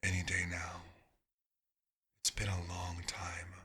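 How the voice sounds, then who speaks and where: A man voicing a centuries-old vampire doctor, wistful and dryly wry, in a soft-spoken ASMR roleplay with a husky, breathy voice and halting, musing pauses. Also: deep voice, monotone, full character voice.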